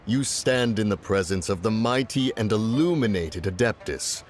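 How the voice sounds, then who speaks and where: Actor as an ancient deer spirit in a game: low, formal, pompous. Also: male voice, expressive, normal speed, soft background music, lightly acted. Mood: lofty. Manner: pompous, archaic formal speech. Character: ancient deer spirit in male human guise, lofty and formal.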